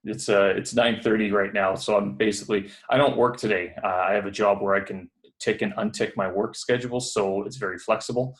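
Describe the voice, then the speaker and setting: A man with a fairly low voice hosts a podcast from a building away from home, talking over a video call. He is chatty and friendly, wandering through his points with plenty of fillers. He is from Canada. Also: steady tone, brisk. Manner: rambling, filler-heavy, friendly. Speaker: podcast host. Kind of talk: casual interview chat. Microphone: headphones on a video call.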